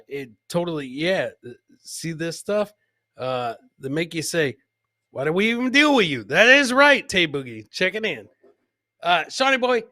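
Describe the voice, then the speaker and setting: A man's voice: fairly high pitch, bright, forceful tone, no echo, clean studio sound. A man hosting a live comedy podcast, casually commenting on clips and chatting with viewers in crude, joking slang, often repeating phrases for emphasis.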